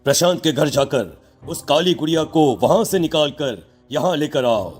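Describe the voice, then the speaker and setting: A man's voice: a little high, lively intonation, normal speed, a little mellow, faint music behind, lightly acted. A man playing a stern, commanding tantric holy man in a dramatic cartoon horror story, solemn and accusing.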